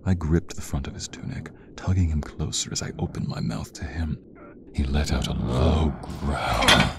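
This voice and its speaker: A man reading as a smitten, sensual narrator of a romance story, slow and intimate, often sinking into a breathy whisper. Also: extremely low pitch, very noisy. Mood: yearning, sensual.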